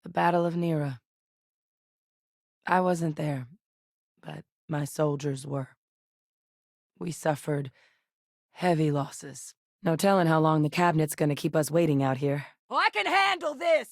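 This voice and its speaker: A woman playing a seasoned, guarded ex-military scientist in a video game, speaking in a measured, serious, matter-of-fact way. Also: low-pitched, animated, dry recording, studio quality, full character voice. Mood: serious, explaining, then combat, tense. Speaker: video game companion character.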